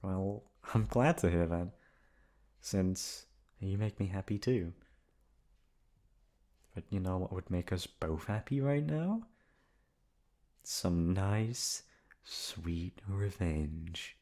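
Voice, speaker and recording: A young man playing a cheeky, soft-hearted delinquent college guy in a casual, hesitant ASMR boyfriend roleplay. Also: deep voice, lively intonation, slow pace, pauses often. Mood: mischievous, fond. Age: young adult. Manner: casual, hesitant, teasing, profane. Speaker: voice actor.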